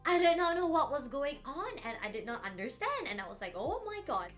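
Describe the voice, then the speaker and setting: A woman's voice: high voice, very animated, fairly quick, a bit bright, faint music behind. A woman in her twenties from Malaysia, talking casually to her channel in an upbeat, chatty way with lots of "like" fillers. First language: not English or French. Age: 20s. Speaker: YouTuber. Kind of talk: casual vlog storytelling.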